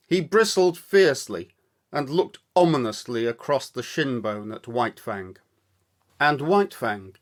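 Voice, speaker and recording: A man reading a story aloud as scripted narration, with measured, deliberate, dramatic phrasing. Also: medium pitch. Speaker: audiobook storyteller, actor.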